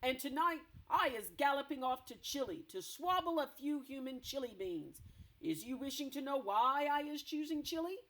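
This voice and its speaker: A woman reading aloud and voicing a huge, greedy male giant who bullies, booming loudly in a gruff voice. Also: expressive, moderate pace, poor audio. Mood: boastful.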